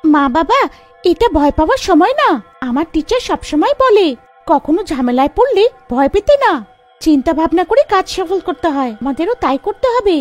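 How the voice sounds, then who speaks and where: Very high-pitched little girl. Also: sing-song, normal speed, soft background music. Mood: brave, reassuring. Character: brave, clever little girl. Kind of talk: children's cartoon voice acting.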